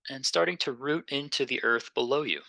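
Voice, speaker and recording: Guy doing guided meditation, super bright crisp voice w some fry, slow n gentle, really dry close sound. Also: mid-range voice, no room sound. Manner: gentle, unhurried, pausing between phrases.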